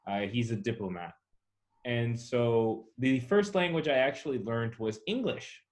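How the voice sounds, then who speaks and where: A man's voice: mid-range voice, measured pace. Man reflectively telling his own story. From born in Chile, raised in the US, Chile and China. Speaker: interviewee, soccer club founder. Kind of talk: casual personal storytelling.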